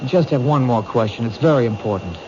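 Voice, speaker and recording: A man's voice: medium pitch, lively intonation, brisk, lightly acted. Actor as a plain-spoken male police detective, muffled recording. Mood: pressing. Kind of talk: 1970s radio drama acting. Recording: muffled, hissy old radio recording.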